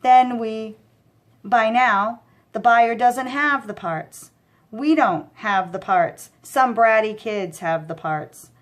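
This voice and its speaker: A woman's voice: a little high, slow. Woman playing a snappish, bossy male crook. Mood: exasperated. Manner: snappish, scolding, exasperated. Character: bossy, irritable male goon, smarter of two crooks. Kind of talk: children's audiobook character voice.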